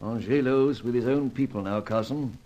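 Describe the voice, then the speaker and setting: A man playing a courteous, anxious senior diplomat and spy chief in a radio adventure serial, speaking formally and hesitantly in an old-fashioned gentlemanly way. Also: mid-range voice, normal speed, muffled recording, natural voice. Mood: troubled.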